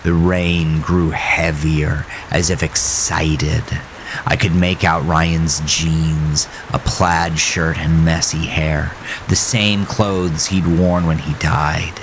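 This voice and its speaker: A man narrating a first-person horror story in a deliberate, suspenseful, dramatic way with a gravelly voice. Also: rumbling low, level tone. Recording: rain and storm noise behind voice. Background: rain, storm ambience.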